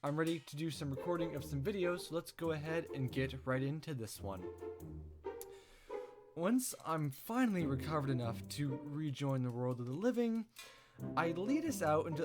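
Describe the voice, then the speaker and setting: Male playthrough host, animated higher voice, casual expressive read-aloud, music clearly behind. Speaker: let's play host. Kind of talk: casual visual novel playthrough, reading aloud. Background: game music.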